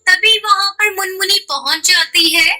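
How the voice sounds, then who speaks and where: A woman's voice: very high-pitched, unhurried, bright, forceful tone. A woman narrating a scripted children's cartoon story as the storyteller.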